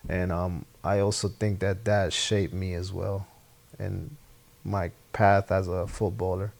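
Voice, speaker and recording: Guy w spanish accent, english not his first language, casual interview chat, gravelly voice, repeats himself a lot. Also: low-pitched. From Honduras. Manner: relaxed, halting, repeats words, laughs often. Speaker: podcast guest, former soccer player.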